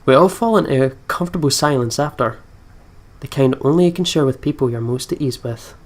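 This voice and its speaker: A man hosting a gaming video, reading story text aloud and thinking out loud in a chatty way. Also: mid-range voice, brisk.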